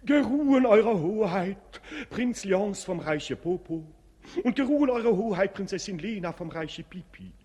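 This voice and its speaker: An actor playing a formal, stammering court preacher, halting and stiffly ceremonial, in a radio play. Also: male voice, sits high, lively intonation, warm tone, slightly rough, heavily acted. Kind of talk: 1950s German radio play acting. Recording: echoing hall, old radio production.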